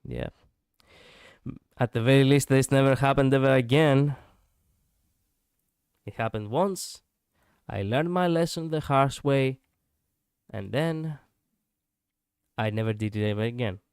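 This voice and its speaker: A man's voice: mid-range voice, measured pace, long pauses, a little mellow, smooth, dry recording, clean studio sound. A male streamer chatting casually and reflectively, poking fun at his own slip-ups. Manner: musing, self-deprecating, laughs at own slips. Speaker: VTuber streamer.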